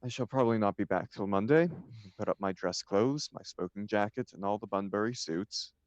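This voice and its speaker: Comedy table read: breezy, idle young gentleman dandy played by a man, fairly low register, wryly amused. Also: brisk, no room sound, lightly acted. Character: Algernon, a flippant, idle young upper-class English dandy. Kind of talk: stage comedy table reading.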